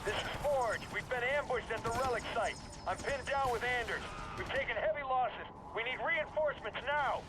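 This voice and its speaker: An actor playing a tough, cocky marine sergeant in a video game, terse and urgent, as if over a military radio. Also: male voice, very high voice, moderate pace. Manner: terse, urgent battlefield delivery. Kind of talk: video game cutscene acting. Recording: radio transmission amid loud gunfire noise.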